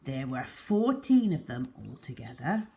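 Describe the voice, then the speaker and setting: Slow, expressive woman storyteller. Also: very deep voice, creaky. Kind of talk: expressive children's story reading.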